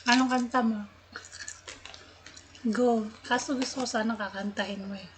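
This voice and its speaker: A woman's voice: on the high side, steady tone, normal speed, a little hiss or hum. A woman with a Filipino accent chatting casually and playfully on a game live stream. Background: game music and dialogue.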